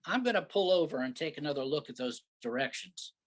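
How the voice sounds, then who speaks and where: A man's voice: on the high side, expressive, a little fast. A man playing an impatient, grumbling New York husband lost in the countryside, in a staged reading of a comic play. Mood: frustrated. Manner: sarcastic, grumbling.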